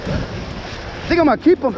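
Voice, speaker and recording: A middle-aged man chatting casually and excitedly in slang for an outdoor fishing vlog, recorded outdoors on an action camera. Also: moderate pace, muffled recording, music in the background. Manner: excitable, slangy, calls people bro and baby. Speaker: fishing vlogger. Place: outdoors on a surf beach. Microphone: body or handheld action camera.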